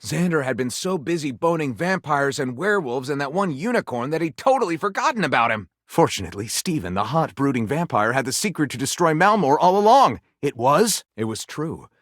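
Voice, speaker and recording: A man's voice: a little high, very animated, fairly quick, hardly any pauses, fairly bright tone, no room sound, studio quality. A man voicing an overwrought game narrator who tells lurid pulp fantasy in a dramatic, suspenseful style. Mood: melodramatic. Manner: theatrical, sweeping, suspenseful delivery. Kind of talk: dramatic scripted storytelling.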